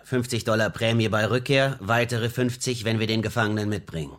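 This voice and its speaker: An actor playing a laconic middle-aged frontier scout in a German radio western, terse and businesslike. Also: male voice, fairly low pitch, a little fast, fairly bright tone, slight background noise, natural voice. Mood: dry, businesslike.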